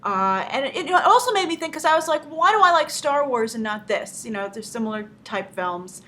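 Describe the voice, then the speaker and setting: A high-pitched woman presents movie review commentary as a show host. She puts emphatic stress on key words as she lays out her opinions. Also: big pitch swings, moderate pace, fairly bright tone.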